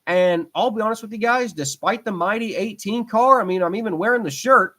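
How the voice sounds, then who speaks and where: A man's voice: sits high, a little fast, sharp tone. A man in his twenties hosting a casual solo podcast, rambling with lots of fillers and restarts and talking like an enthusiastic fan. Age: 20s. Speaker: podcast host.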